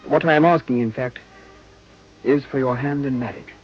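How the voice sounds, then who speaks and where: An actor playing an ardent, courteous English gentleman suitor with stiffly formal diction in a period radio drama. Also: mid-range voice, fairly even intonation, normal speed, highs cut off, faint music behind, lightly acted. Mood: solemn, ardent.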